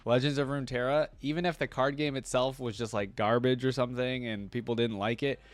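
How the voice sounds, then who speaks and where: A man's voice: mid-range voice, fairly even intonation, a little fast, no room sound. A young man hosting a reaction video, chatting casually with lots of fillers.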